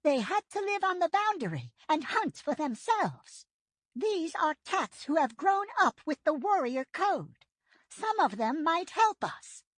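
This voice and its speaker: A woman voicing a stern old she-cat of a warrior clan, reading her lines gruffly and slowly in a storybook reading. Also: extremely high pitch, big pitch swings, dry recording, pitched above the performer's own voice, heavily acted. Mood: urgent. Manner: gruff, slow and commanding.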